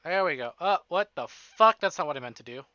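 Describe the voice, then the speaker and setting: A man hosting a casual livestream, chatting in an excitable, playful, profane way. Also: a little high, fairly quick, clear, resonant tone, dry recording. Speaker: livestream host. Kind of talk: casual streaming chat between visual novel readings. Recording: dull lo-fi stream audio under music. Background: prominent music.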